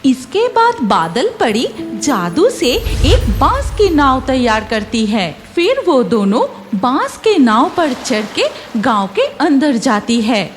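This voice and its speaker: A woman's voice: sits high, very animated, takes it slowly, faint music behind. A woman reading scripted cartoon story narration as the storyteller.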